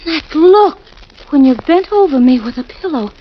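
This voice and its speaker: An actress playing a nervous young wife shaken by a nightmare, breathless and pleading, in 1940s radio acting style. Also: female voice, high voice, animated, normal speed. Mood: anxious. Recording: muffled old radio recording with hiss.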